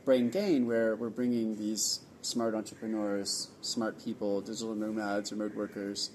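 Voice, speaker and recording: A man from California hosting a casual interview at an event venue, easygoing and rambling with pauses. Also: lowish voice, level tone, a little mellow, a little hiss or hum. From California, USA. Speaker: host of a nomad community event.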